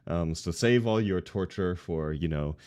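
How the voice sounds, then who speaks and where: A man's voice: sits low, measured pace, a little mellow, clean tone. A man hosting a livestream with casual, jokey chat, introducing things and welcoming viewers informally. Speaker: stream host.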